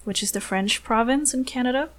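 A woman's voice: on the high side, a little fast. A young woman whose first language is Romanian, congested with a cold, chatting as a podcast guest over a remote call in careful, friendly English. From Eastern Europe. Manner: friendly, apologetic, careful non-native English. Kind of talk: casual chat.

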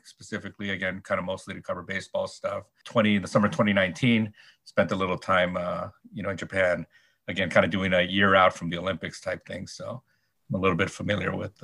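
A man, a sports columnist guest, answering interview questions casually with many pauses, fillers and restarts. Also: sits low, creaky, bright, forceful tone.

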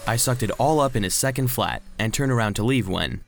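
A man playing an earnest, anxious robot vacuum cleaner who narrates a children's audio drama in an expressive storytelling manner. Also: mid-range voice, a little fast, a little hiss or hum, lightly acted. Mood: puzzled.

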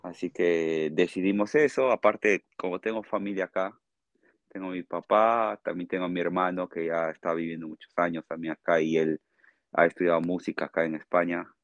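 A man with a Peruvian accent answering interview questions conversationally as a guest, explaining in a halting way and often checking with a short tag question. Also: sits low, fairly even intonation, no room sound, lo-fi sound. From Peru. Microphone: remote call.

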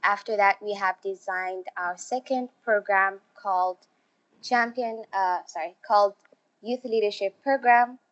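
Slow, halting young woman from Somalia. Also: medium pitch. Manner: slow, halting, many fillers. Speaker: refugee youth program organizer. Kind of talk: panel interview answers. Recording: muffled lo-fi audio.

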